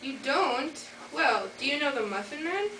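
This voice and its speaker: A woman's voice: high voice, expressive, a little slow. A teenage girl playing a wicked witch disguised as a pleading, wheedling old lady in a school fairytale play. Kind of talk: amateur school readers' theater. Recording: echoey noisy hall from far off.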